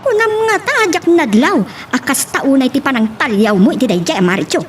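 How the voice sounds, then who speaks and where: A woman's voice: high-pitched, lively intonation, brisk. A woman playing an emotional, strong-willed woman in an Ilocano family love drama. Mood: angry, accusing. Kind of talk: Ilocano audio drama acting. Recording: faint background noise.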